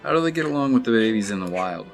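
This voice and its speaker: A man playing a curious, reserved dinosaur expert with a measured, inquisitive manner in a fan audio drama. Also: mid-range voice, animated, natural voice. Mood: curious, guarded. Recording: music under the voice.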